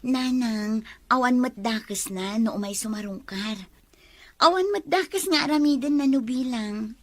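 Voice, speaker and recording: A Filipino woman playing a soft-hearted, lovestruck young woman in an audio drama, speaking in an earnest, pleading way. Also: fairly high pitch, a little slow, no echo. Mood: defensive, pleading. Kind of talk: emotive audio drama acting.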